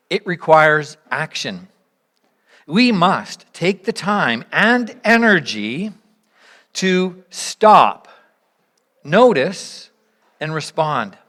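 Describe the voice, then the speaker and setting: A woman leads a congregation through a reflective sermon. She speaks in measured, earnest phrases, often breaking them into short pieces. She poses rhetorical questions and leaves pauses between her points. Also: low-pitched, big pitch swings, fairly bright tone. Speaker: church speaker leading an interactive service.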